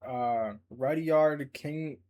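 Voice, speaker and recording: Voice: mid-range voice, drawn-out words, warm tone. A reaction host giving casual, exclamatory commentary with plenty of swearing while watching a video.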